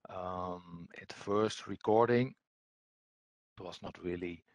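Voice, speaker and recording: A man giving an explanatory technical presentation as a webinar trainer, speaking in a hesitant, halting way. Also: sits low, no echo.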